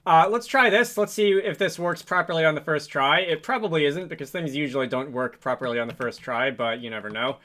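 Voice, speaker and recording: A man hosting a casual programming tutorial, thinking aloud in a halting, hesitant way as he works through code on screen. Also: a little high, pushed and bright. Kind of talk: casual screen-recorded coding walkthrough.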